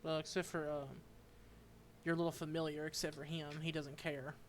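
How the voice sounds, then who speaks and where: A man streaming a game, reading its dialogue aloud and commenting, halting and stumbling over unfamiliar names. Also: a little high, flat delivery, a little hiss or hum.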